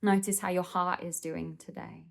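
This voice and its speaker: Soothing lady doing a guided meditation, gentle, takes her time w pauses. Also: on the low side, flat delivery, gravelly.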